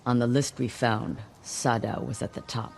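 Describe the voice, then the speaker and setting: Gravelly, vengeful older noblewoman. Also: rumbling low, fairly even intonation, normal speed, slight background noise. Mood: cold contempt. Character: bitter, vengeful older noblewoman warrior, proud and severe. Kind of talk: video game cutscene acting.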